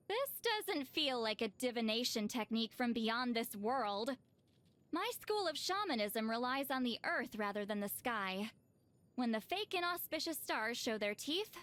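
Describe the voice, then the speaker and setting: A woman's voice: fairly high pitch, a bit bright. A woman voicing a reclusive video game shaman, dry and weary yet easily flustered and defensive. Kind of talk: video game character voice lines.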